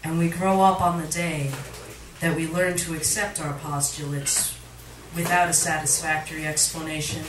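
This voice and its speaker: A woman's voice: deep voice, little pitch movement, moderate pace. Woman reading poetry in an echoey room. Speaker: poet, featured reader. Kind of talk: poetry reading, performed recitation. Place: literary cafe, indoors, live reading. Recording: echoey room recording from far off, audience noise. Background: cafe audience sounds.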